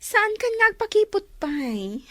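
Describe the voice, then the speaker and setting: A conniving accomplice in a radio drama. Her voice is high, lively and close and dry, with a brash, prodding manner. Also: unhurried, no echo, heavily acted. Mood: scheming, urging. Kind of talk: Ilocano radio drama acting.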